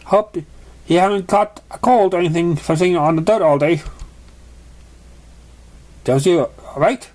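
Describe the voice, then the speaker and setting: Man voicing chatty, higher-pitched female unicorn pony. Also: normal speed, pauses often, a little mellow, natural voice. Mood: concerned, questioning. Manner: chatty, questioning, slightly rambling.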